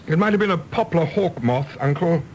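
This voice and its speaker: A man playing an earnest, prickly, idealistic middle-aged British gentleman in a radio drama, speaking formally and eloquently. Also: fairly high pitch, expressive, fairly quick, a little hiss or hum. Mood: reflective.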